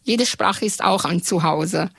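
Halting woman. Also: lowish voice, gravelly, fairly bright tone, slightly rough, no echo, clean studio sound. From Italy. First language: Italian. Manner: halting, with frequent pauses and fillers. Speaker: radio journalist. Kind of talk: interview answers.

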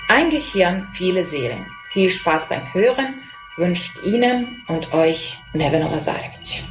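A young woman, a student, hosting a radio show and reading her prepared introduction in a clear, even way. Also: fairly low pitch, measured pace, music in the background. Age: young adult. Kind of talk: scripted radio presentation.

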